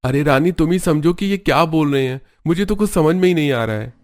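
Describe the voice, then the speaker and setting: Guy doing village husband from a cartoon story, good natured, earnest n simple, slightly high voice. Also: lively intonation, brisk, natural voice. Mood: puzzled.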